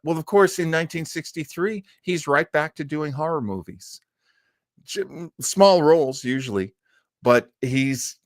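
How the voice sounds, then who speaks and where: A man's voice: mid-range voice, no echo, studio quality. A man hosting a casual live stream about old radio, rambling and often breaking off to search for his words. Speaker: online show host.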